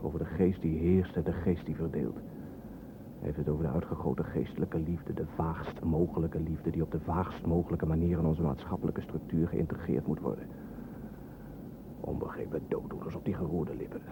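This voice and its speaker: An actor playing a cynical army deserter in a radio play, sardonic and wordy. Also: male voice, a bass voice, steady tone, normal speed. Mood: sardonic, resentful. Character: cynical army deserter on the run, resentful son. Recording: muffled old radio play, noisy background.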